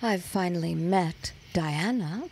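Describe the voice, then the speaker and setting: Slow, creaky, cool-headed woman. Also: fairly low pitch, a little mellow, slight background noise. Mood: defiant. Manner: measured, pointed, guarded. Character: cool, defiant young secretary as party guest. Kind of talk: period audio drama acting.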